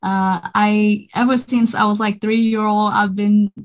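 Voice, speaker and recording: A woman in her thirties with a Vietnamese accent, talking about herself in a relaxed interview. She is upbeat and halting, and her sentences stop and start around plenty of fillers. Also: medium pitch. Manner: hesitant, many fillers and restarts, upbeat. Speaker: singer, interview guest. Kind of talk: casual interview chat.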